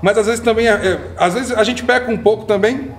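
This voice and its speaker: High-voiced man hosting a panel chat. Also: a little fast. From Salvador, Bahia, Brazil. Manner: earnest, often tags lines with "né". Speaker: podcast host. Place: community center room, seated on sofa with panel.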